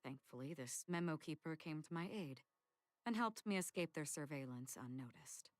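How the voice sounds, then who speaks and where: A woman playing a calm, solemn, mysterious exiled swordswoman in a game, speaking in a measured, polite, formal way. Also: sits low, no echo, lightly acted. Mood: calm, earnest. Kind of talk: scripted game voice acting. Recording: music behind the voice.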